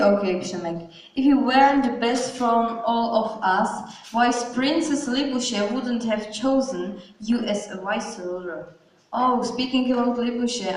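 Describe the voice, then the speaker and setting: A woman's voice: fairly high pitch, a little mellow, natural voice. A woman playing a deferential, placating companion to a duke in an amateur puppet show. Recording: very echoey hall, distant mic.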